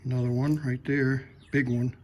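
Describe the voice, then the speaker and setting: A man hosting a hands-on repair demonstration in his workshop, talking to himself in an unhurried way as he works. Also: medium pitch, flat delivery, darkish tone. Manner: unhurried, talks to himself while working. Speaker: host. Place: workshop with a lathe.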